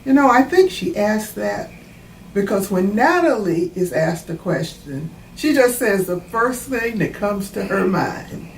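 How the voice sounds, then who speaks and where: Slow, roomy-sounding woman reading a storybook. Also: mid-range voice, very animated, creaky. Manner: deliberate, explanatory, teacherly. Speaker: author reading own book. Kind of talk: children's storybook read-aloud with explanations. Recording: roomy, distant mic, faint background noise.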